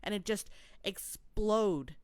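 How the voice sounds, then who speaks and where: A woman co-hosting a travel podcast in casual chat, telling stories in an animated way with plenty of fillers. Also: medium pitch, takes it slowly, clear, resonant tone, no echo. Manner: chatty, animated storytelling with many fillers. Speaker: podcast co-host.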